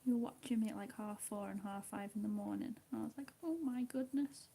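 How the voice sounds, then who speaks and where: A woman chatting casually with her viewers while she colours, speaking softly, often half in a whisper and a little hesitant. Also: medium pitch, a little fast, vocal fry, husky. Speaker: livestream host.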